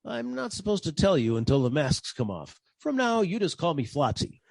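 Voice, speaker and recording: An actor playing a wry, deadpan private detective narrating his caper in 1940s radio style. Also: fairly high pitch, moderate pace, gravelly, no echo, heavily acted. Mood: dry, polite. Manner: deadpan, wisecracking.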